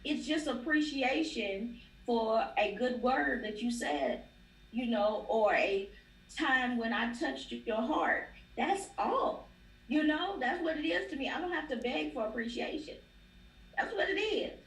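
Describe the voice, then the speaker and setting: A woman's voice: on the high side, expressive, a little slow. A woman guest in a casual livestream interview, an educator who talks emphatically and repeats phrases for stress. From Nashville, Tennessee. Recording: roomy, distant, faint ambience.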